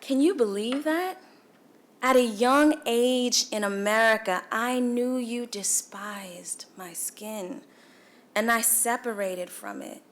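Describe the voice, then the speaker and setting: A young woman from Guyana with a Guyanese accent, delivering a formal speech at a celebration breakfast in measured, emphatic oratory with dramatic pauses. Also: a little high. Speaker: featured speaker. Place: event hall.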